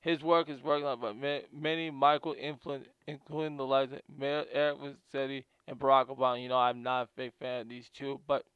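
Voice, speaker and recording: A man's voice: medium pitch, level tone, a little fast, few pauses, clear, resonant tone, no room sound, lo-fi sound. A man hosting a casual video, reading from notes about a guest and often stumbling and repeating words.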